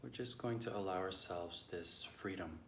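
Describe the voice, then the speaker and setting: A man leading a guided meditation in a measured, unhurried way with long pauses between phrases. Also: low voice, vocal fry, bright, forceful tone, echoey room, a little hiss or hum. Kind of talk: guided meditation, slow instruction.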